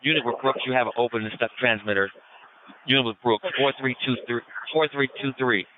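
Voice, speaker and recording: Fast-talking male police radio dispatcher. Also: mid-range voice, noisy background. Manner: procedural, clipped call-and-response. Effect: two-way police radio. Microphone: radio transmitter. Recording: muffled, band-limited police radio transmission.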